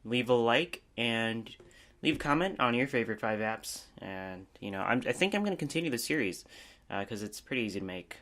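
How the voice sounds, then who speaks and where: A man's voice: medium pitch, expressive, brisk, a bit bright. A man giving a casual, unscripted review as a video host, rambling informally with a self-deprecating manner.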